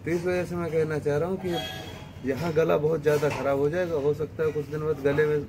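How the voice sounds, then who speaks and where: A man giving a scornful, heated commentary, now muttering and now bursting out loudly. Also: a little high, little pitch movement, fairly quick, noisy background. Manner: scornful and heated, rising to loud outbursts. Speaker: online commentator. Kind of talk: ranting video commentary.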